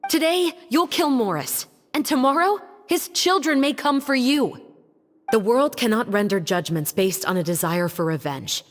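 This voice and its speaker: An actress voicing a composed, principled young female law officer in a game cutscene, speaking in an earnest, deliberate, formal way. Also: on the high side, expressive, natural voice. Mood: grave, resolute. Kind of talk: dramatic video game cutscene acting. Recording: long reverb, music underneath.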